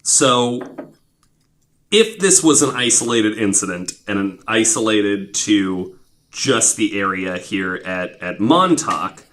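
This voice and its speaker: A man's voice: lowish voice, animated, slow, a bit bright. A man hosting a podcast, telling stories casually to co-hosts in an informal, wryly skeptical way with some swearing. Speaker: podcast host.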